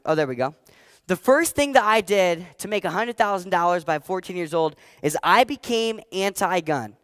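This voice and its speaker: A fourteen-year-old boy giving a motivational talk on stage, energetic and emphatic, repeating his key phrases. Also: high-pitched, no echo, clean studio sound. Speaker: motivational speaker. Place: stage before a live audience.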